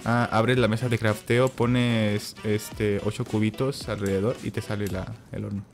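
A young man chatting excitedly while playing a game, often shouting in surprise and mixing in English slang. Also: lowish voice, moderate pace. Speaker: gaming YouTuber. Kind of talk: excitable gameplay chat. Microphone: saturated home mic. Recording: distorted mic with game music behind.

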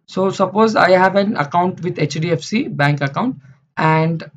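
A man's voice: high voice, normal speed. Man teaching slides. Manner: repeats phrases, many fillers and restarts. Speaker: instructor. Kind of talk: slide lecture for beginners. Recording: dull lo-fi sound.

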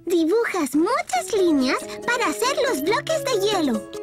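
A woman voicing a tiny, bossy, excitable female ladybug in a children's cartoon, fussy and full of exclamations. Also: extremely high pitch, measured pace, over loud music, full character voice. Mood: eager. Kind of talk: Spanish-dubbed preschool cartoon acting.

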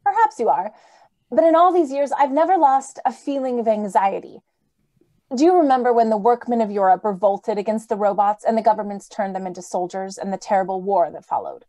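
A woman's voice: mid-range voice, a little fast, dark tone, natural voice. A woman in her thirties playing an earnest, anxious idealistic wife in old-time radio drama style. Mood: wistful.

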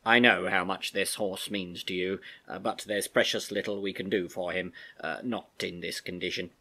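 A man voicing a firm but humane army major in a storybook, speaking in a deliberate, clipped, authoritative way. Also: sits low, steady tone, bright, forceful tone, exaggerated voice. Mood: grave, regretful.

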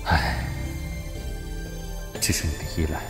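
A man playing a scheming, world-weary young prince in a Chinese period audio drama, speaking in a theatrical, drawn-out way with sighs. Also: music in the background, lightly acted. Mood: wistful. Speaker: lead voice actor in an audio drama. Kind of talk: period audio drama acting with inner monologue.

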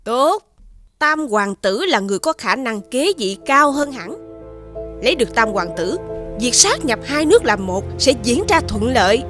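An actress playing a proud, ambitious warrior princess in an animated fairy tale, imperious and measured. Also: female voice, a little high, soft background music. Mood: imperious, calculating.

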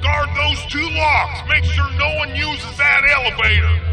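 A man's voice: very high voice, steady tone, a little slow, over music. A man playing a bossy villain henchman officer in a video game, giving commanding military announcements. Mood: impatient. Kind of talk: video game cutscene acting.

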